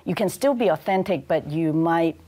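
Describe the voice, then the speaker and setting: A woman's voice: fairly low pitch, moderate pace, warm tone. A woman in her fifties from Hong Kong, with a Hong Kong accent, speaking thoughtfully as a panelist in a studio on a lapel mic. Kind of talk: panel discussion.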